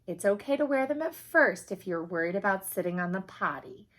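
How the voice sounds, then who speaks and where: A woman's voice: mid-range voice, animated, brisk, fairly bright tone, natural voice. A woman voicing a kindly children's doctor in a picture book, explaining things patiently and encouragingly. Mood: reassuring.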